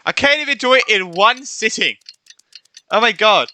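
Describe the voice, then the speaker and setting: Bright-voiced, excitable male game streamer. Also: sits high, normal speed. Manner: excitable, exclamatory. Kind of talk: casual playthrough commentary, reading lines along.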